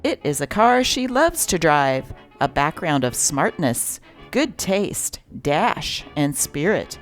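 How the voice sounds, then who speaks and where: A woman's voice: low-pitched, big pitch swings, slow, faint music behind. A woman host and narrator reading old newspaper items and ads aloud.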